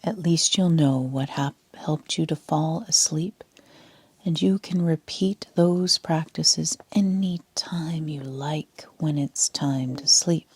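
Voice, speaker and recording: A woman leading a sleep meditation as a yoga nidra instructor, speaking in a soft, half-whispered, calm and soothing way. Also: sits low, slow, slightly rough.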